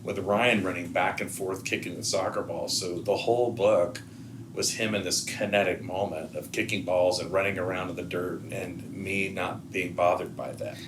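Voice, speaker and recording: A middle-aged man, a school head guesting on a podcast, telling anecdotes and advice in a halting, word-repeating way. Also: deep voice, fairly even intonation. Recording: clear background noise.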